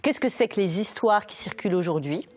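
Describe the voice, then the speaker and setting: A woman's voice: medium pitch, lively intonation, a little fast, darkish tone, slightly rough. A woman storyteller talking in an explanatory interview way, thoughtfully rephrasing as she goes. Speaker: storyteller with a theatre company.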